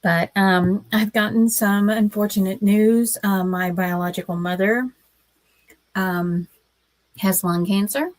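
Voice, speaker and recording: A woman hosting a casual writing livestream, her voice scratchy from a cold, talking in a slow, halting way with many pauses. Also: mid-range voice, steady tone, no room sound.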